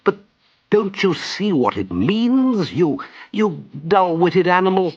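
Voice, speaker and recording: A man voicing a good-natured, practical water rat in a children's story, brisk and emphatic. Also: high-pitched, big pitch swings, a little mellow, breathy edge, lo-fi sound, faint music behind, pitched above the performer's own voice, heavily acted. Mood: excited. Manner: brisk, emphatic, well-mannered.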